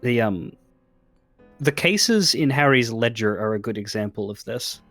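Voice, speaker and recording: A man co-hosting a stream, casually analysing game stories in a halting, thoughtful way and repeating words as he goes. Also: mid-range voice, expressive, a bit bright, no echo, faint music behind. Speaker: co-host of a game discussion stream.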